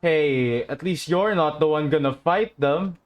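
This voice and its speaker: Low-voiced woman reacting to a game. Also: unhurried, lo-fi sound. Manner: playful, mutters short reactions. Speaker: streamer. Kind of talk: casual gameplay reaction commentary. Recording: game music clearly behind.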